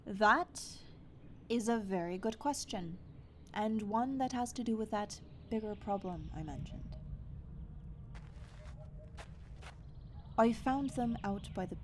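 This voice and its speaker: A woman's voice: mid-range voice, unhurried, a little hiss or hum, close to an everyday voice. A woman playing a guarded, stubborn dweller of the wilds in a fantasy audio roleplay, speaking in an earnest, naturalistic, hesitant way. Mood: defensive.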